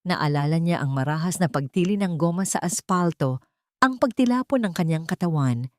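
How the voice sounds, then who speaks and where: Female narrator for scripted drama stories, slightly low pitch, dry studio-like sound. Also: expressive, normal speed, a little mellow, no room sound. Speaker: story narrator.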